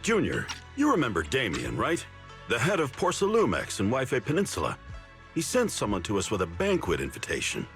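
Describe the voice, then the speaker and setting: An actor playing a shrewd, easygoing young senior disciple in a video game, talking in a casual, persuasive way. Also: male voice, mid-range voice, wildly swooping pitch, fairly quick, a bit bright, faint music behind. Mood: confident, persuasive. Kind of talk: English video game voice acting.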